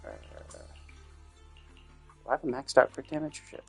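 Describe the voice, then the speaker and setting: A man talks casually as a live game streamer. His medium-pitched voice rambles conversationally, with offhand swearing. Faint music plays behind him. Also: fairly even intonation, moderate pace, creaky, husky. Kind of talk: casual gaming livestream chat.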